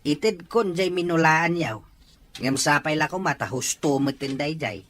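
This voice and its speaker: A man's voice: a little high, normal speed, fairly bright tone, natural voice. A man with an Ilocano accent playing a patient, fair-minded farmer father in an audio drama. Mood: firm, conciliatory. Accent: Ilocano, from the Philippines.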